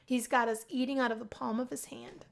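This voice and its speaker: A woman narrates a visual novel in the first person. Her voice is very high. She reads expressively, like someone telling a story on a gaming video. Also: fairly even intonation, fairly quick, gravelly.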